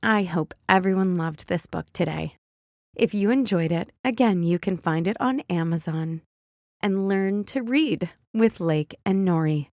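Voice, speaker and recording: A woman hosting a children's read-aloud, narrating and teaching early reading in simple, deliberate wording. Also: on the low side, darkish tone, no echo, studio quality. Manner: simple, deliberate wording, instructing young readers. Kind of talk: slow phonics storybook read-aloud for young children.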